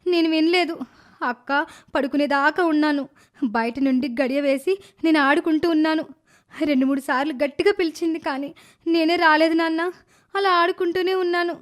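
Woman voicing a high-pitched cheeky little village girl. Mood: nervous, pleading. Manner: insolent, talks back to elders. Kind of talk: animated moral story dialogue.

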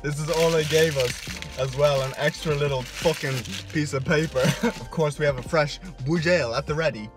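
A man's voice: a little high, steady tone, moderate pace. A young man in his twenties with an Irish accent, vlogging a food challenge, exclaiming and laughing between bites while filming himself at arm's length in a parked car. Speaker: fitness vlogger. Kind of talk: casual food vlog. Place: parked car, and a kitchen at home. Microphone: camera held at arm's length. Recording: music behind. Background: music.

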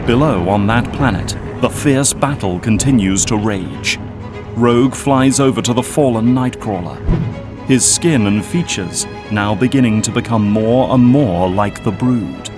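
Man narrating over clear music. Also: lowish voice, unhurried. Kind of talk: scripted dramatic narration.

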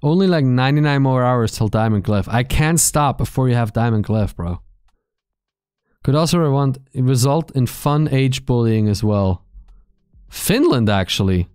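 A man in his thirties streaming from a small gaming room into a boom mic, chatting casually with his viewers, joking and swearing freely. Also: animated, moderate pace, darkish tone, clean tone, no echo, studio quality. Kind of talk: casual chat reading live chat. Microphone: studio mic on boom arm, headphones.

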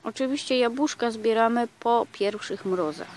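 A woman giving a casual explanatory talk for her gardening vlog, pausing often with filler sounds, recorded outdoors on a camera mic. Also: a little high, darkish tone, slight background noise. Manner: hesitant, many filler sounds. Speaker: gardening vlogger.

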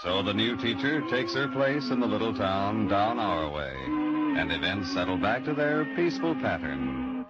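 A man's voice: fairly low pitch, sing-song, moderate pace. An American man narrating as announcer of a 1950s radio drama serial, reading from a script. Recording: dull old radio, loud music behind.

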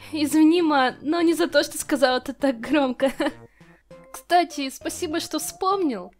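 A woman voicing a nerdy, shy female lizard scientist in a fan comic dub, giggly and hesitant. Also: sits high.

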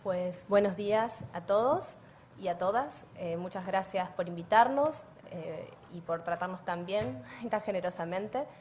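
An Argentine-accented woman delivers a conference lecture in a mid-pitched voice. She lays out her points in clear order with a dry, wry touch. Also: measured pace, darkish tone, a little hiss or hum. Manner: wry, structured, clearly organized. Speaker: academic lecturer.

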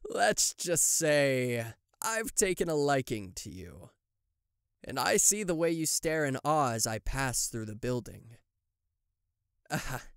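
A man's voice: medium pitch, no room sound, studio quality. A man playing a smug, possessive demon boss in an ASMR roleplay, theatrical, slick and falsely polite. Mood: possessive, coaxing.

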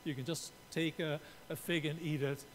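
A man's voice: flat delivery, moderate pace. A man in his sixties from Canada, preaching a sermon from a pulpit and explaining his points while gesturing. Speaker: pastor. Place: church sanctuary. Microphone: pulpit mic. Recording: reverberant church room, faint rumble.

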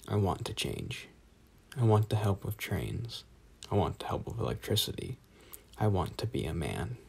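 A man's voice: lowish voice, vocal fry, darkish tone, husky. A young man, a student storyteller, reciting a scripted poetic monologue. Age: young adult.

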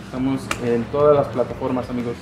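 A man's voice: medium pitch, little pitch movement, fast. A young man with a Mexican Spanish accent, a sales adviser explaining a product casually, with frequent filler words. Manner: casual, many "este" fillers. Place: motorcycle gear shop, indoors. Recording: echoey shop with music.

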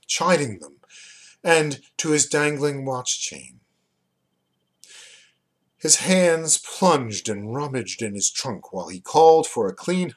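A man in his fifties reading a novel aloud at home in a deliberate, dramatized way. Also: medium pitch. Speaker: reader, poet and book dealer. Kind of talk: expressive literary reading aloud. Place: small indoor room at home.

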